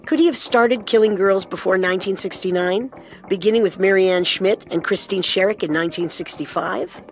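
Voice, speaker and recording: Woman narrating true crime, mid-pitched. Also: normal speed, poor audio. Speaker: podcast co-host and narrator.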